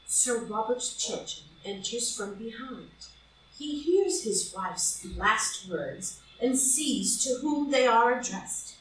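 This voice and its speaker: Female stage-direction narrator, unhurried scripted read, echoey live room with faint noise. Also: on the high side, animated. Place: indoor room, live group reading. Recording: roomy, distant live reading, faint noise.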